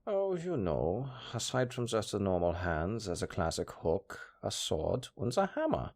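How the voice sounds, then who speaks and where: A man's voice: on the low side, wildly swooping pitch, a little slow, vocal fry, a little mellow, exaggerated voice. A man playing a pragmatic, chatty necromancer who talks casually and matter-of-factly about grisly work in an audio roleplay. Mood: casual, amused. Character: chatty, pragmatic male necromancer, former tailor. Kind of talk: intimate audio roleplay acting.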